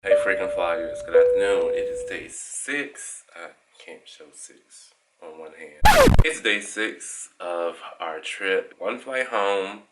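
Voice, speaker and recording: A man's voice: deep voice, slow. A young man, a flight attendant, chatting casually and joking at his own expense in a travel vlog. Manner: chatty, self-deprecating, joking. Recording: loud background noise from travel ambience.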